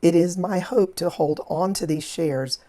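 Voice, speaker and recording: A hoarse woman with a rough, scratchy voice, recovering from laryngitis, methodically walking through an investing trade as the channel's host. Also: lowish voice, measured pace. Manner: methodical, steady explaining of trade steps and figures. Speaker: financial educator and channel host. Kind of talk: screen-recorded investing walkthrough.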